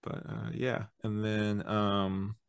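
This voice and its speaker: Low-voiced young man chatting casually. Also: little pitch movement, takes it slowly, vocal fry, clear, resonant tone, no room sound. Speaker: podcast co-host. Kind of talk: casual review chat.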